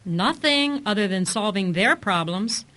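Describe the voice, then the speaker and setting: An actress playing a prim, dryly teasing detective's secretary in a radio drama. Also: female voice, medium pitch, animated, unhurried, pushed and bright. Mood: persuasive. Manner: dry, teasing, proper.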